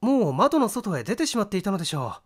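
A man playing an eager high-voiced young male witness. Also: big pitch swings, fast. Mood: earnest, thoughtful. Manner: earnest, formal, detailed recounting. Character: earnest young male witness recounting a crime.

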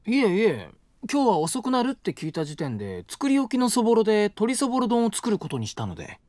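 A gentle young student cook. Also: male voice, high-pitched, huge pitch swings, fairly quick, dry recording, studio quality. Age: young adult. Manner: soft, polite, patient explaining. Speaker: college student neighbor who cooks. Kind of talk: studio audio drama. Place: dry studio.

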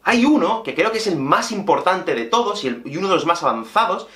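A man in his thirties with a Peninsular Spanish accent gives a language lesson straight to his viewers. His voice is fairly high and very lively in pitch, explaining things expressively. It is recorded in an echoey small room. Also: a little fast. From Spain. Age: 30s. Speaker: Spanish teacher and video host. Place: small indoor room with whiteboard and bookshelf.

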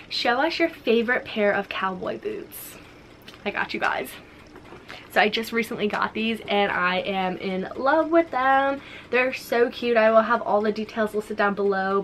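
A woman's voice: medium pitch, fairly bright tone, a little hiss or hum, poor audio. A young woman from the US Midwest hosting a chatty sit-down YouTube video from her home office, bubbly and upbeat, full of "like" and "so much fun". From Ohio, US Midwest. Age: young adult. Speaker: lifestyle YouTuber. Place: home office, indoors, small room.

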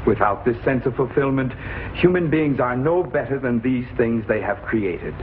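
An actor playing a grave, formal king in a 1950s television drama, speaking in measured phrases. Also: mid-range voice, lively intonation, slight background noise, natural voice. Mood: solemn. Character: solemn king addressing delegates of the planets. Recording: tinny, band-limited 1950s TV soundtrack.

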